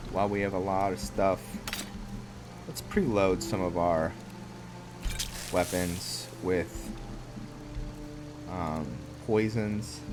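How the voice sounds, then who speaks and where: Low-voiced, unhurried man doing game commentary. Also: fairly even intonation. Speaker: game streamer, host of a roleplay series. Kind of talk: casual gameplay commentary, thinking aloud. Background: game music.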